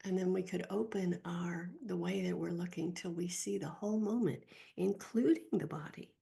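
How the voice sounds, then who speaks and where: A woman guides a meditation in a soft, husky voice with a mellow tone and a touch of creak. Her delivery is gentle and unhurried, leaving long pauses between short phrases. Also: on the low side, steady tone.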